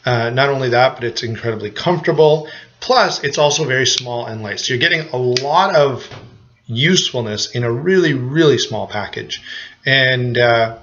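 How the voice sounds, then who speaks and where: A man's voice: medium pitch, moderate pace, fairly bright tone, muffled recording. Easygoing man with a cold. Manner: easygoing, rambling with frequent restarts and "uh" fillers. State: has a cold. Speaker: knife reviewer. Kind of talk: casual product review to camera.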